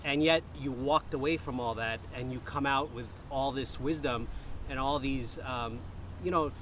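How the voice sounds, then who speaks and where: A man's voice: mid-range voice, normal speed, a little hiss or hum. Admiring young man hosting a chat. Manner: warm, admiring, loose with fillers. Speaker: podcast host. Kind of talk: casual interview conversation.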